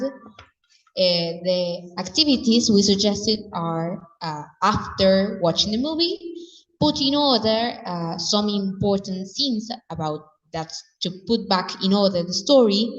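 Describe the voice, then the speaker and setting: Slow, halting woman presenting. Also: medium pitch, a bit bright. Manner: halting, many fillers. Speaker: teacher trainee presenting group work. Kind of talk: hesitant classroom presentation. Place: large classroom or hall. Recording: dull, echoey hall from far off.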